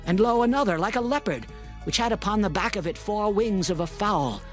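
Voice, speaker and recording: An actor playing a devout, steadfast prophet in a dramatized scripture reading, with a reverent, declamatory delivery. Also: male voice, sits high, animated, a little fast, fairly bright tone, faint music behind, rough recording. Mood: awed.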